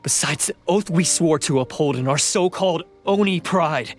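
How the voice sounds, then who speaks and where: A man acts as a defiant young oni outlaw in a video game. His voice is a little high, with music playing behind it. He speaks with dramatic intensity, scornful and bitter. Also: normal speed, lightly acted. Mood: anguished, defiant. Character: bitter, defiant young male oni outcast turned thief.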